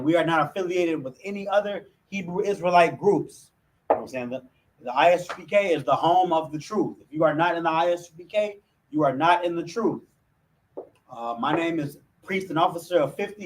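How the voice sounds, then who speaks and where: Man, American accent, somewhat high voice, emphatic religious teacher, informal class delivery, distant microphone. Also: fairly quick, noisy background. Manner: emphatic, with frequent "you know what I'm saying". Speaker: religious group officer teaching a Hebrew class. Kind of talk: informal religious language lesson to camera.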